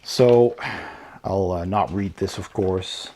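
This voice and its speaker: A man's voice: deep voice, takes it slowly, warm tone, slight background noise. A man hosting a casual unboxing video, thinking aloud and hesitating as he describes each item. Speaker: host of a board game unboxing channel.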